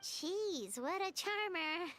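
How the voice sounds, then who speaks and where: A woman's voice: expressive, slow pace, a bit bright, heavily acted. A woman voicing a small, childlike fairy companion in an anime game, chatty, cutesy and blunt. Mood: mildly annoyed. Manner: squeaky, cutesy, speaks of herself in the third person.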